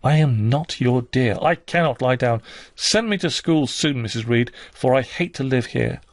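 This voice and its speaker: A man's voice: mid-range voice, moderate pace, no echo, clean studio sound, lightly acted. A man voicing a wounded, defiant young girl in a storybook reading, vehement and accusing with emphatic delivery. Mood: fierce, defiant.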